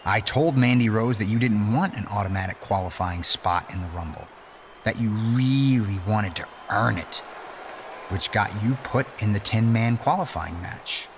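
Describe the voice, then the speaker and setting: An actor playing a menacing, self-righteous messianic wrestling villain in a video game, delivering a deliberate, pompous monologue that persuades and threatens. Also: male voice, fairly low pitch, a little hiss or hum, close to an everyday voice. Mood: earnest persuasion. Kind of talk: dramatic scripted monologue.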